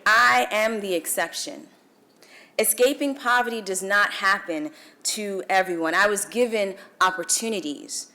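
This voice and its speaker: A young woman from Guyana with a Guyanese accent, delivering a formal speech at a celebration breakfast in measured, emphatic oratory with dramatic pauses. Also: mid-range voice, bright, forceful tone. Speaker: featured speaker. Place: event hall.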